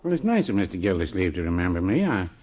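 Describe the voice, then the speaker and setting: Casting: agreeable older shopkeeper for vintage radio comedy, low lively voice, hesitant and dryly folksy. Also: male voice, fast. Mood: mild, pleasant. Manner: dry, hesitant, folksy understatement. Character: mild, agreeable, hesitant older male small-town druggist. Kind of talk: 1950s radio sitcom acting. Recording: muffled, noisy old radio recording.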